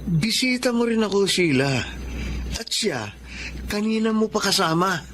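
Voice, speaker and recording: A brash, pushy young Filipino suitor in a radio drama. His delivery swings up and down in pitch. Faint ambience lies behind him. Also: animated, a little slow, a bit bright. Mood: pushy, taunting. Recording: faint background noise and ambience.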